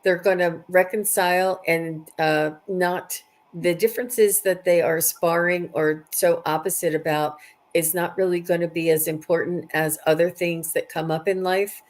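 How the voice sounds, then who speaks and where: A woman hosting a live stream, chatting casually and giving readings to viewers, often halting and repeating phrases as she thinks aloud. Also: on the low side, steady tone.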